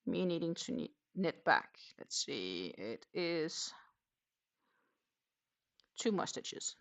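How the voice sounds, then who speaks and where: A woman with a lowish, gravelly voice full of vocal fry hosts a relaxed craft stream. She talks haltingly and very slowly, half to herself, in a self-deprecating and freely sweary manner. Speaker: host of a crafting livestream. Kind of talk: casual livestream chat while knitting.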